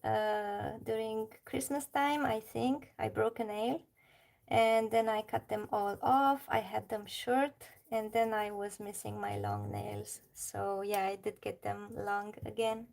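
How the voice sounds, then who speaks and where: Slow, creaky Romanian-accented woman. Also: medium pitch, a little mellow. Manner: chatty, reads chat aloud, laughs often. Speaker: livestream colouring artist. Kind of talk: casual livestream chat while colouring.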